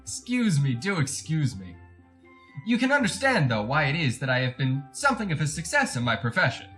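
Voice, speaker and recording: A man's voice: a little high, huge pitch swings, fairly quick, fairly bright tone, soft background music. Fussy, creepy salesman, wildly swooping delivery. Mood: fussy, self-satisfied. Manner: fussy, over-polite, wordy, theatrical. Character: chatty, creepy encyclopedia salesman, widower-like, bullying his dog. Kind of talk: retro 1940s radio drama monologue.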